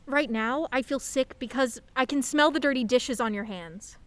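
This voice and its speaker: An actress playing a brooding, curt teenage daughter in a radio play, with inner monologue. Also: female voice, sits high, moderate pace, fairly bright tone, dry recording. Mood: sulky. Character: sullen teenage daughter, moody and blunt.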